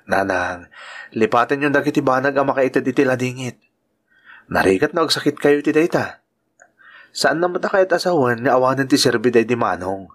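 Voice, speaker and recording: A man's voice: mid-range voice, lively intonation, moderate pace, a bit bright. A man with an Ilocano accent playing a kindly, earnest uncle and working man in an audio drama. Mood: gentle concern. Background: music.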